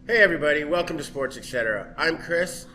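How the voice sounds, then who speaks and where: A man from Canada co-hosting a casual sports talk show from a pub. He sounds easygoing and a little hoarse from a cold, and he chuckles along as he goes. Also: a little high, expressive, quick pace, sharp tone, faint music behind, poor audio. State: slight cold. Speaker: host.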